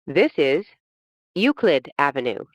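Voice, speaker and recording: A woman reading scripted automated subway announcements in a formal, measured, phrase-by-phrase manner. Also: low-pitched, big pitch swings, highs cut off.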